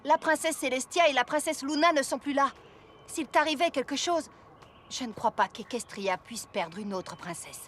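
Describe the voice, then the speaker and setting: A woman's voice: high voice, moderate pace, a bit bright, slight background noise. A woman playing a practical, loyal female pony with a frank, folksy way of talking, in a French cartoon dub. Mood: frank, worried.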